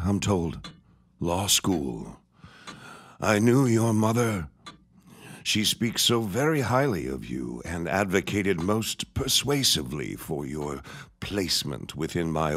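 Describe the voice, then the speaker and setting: An actor playing a menacing, scheming old reverend in an audio drama, husky and pompous in his deliberate delivery. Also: low voice, exaggerated voice. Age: older. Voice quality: husky, raspy.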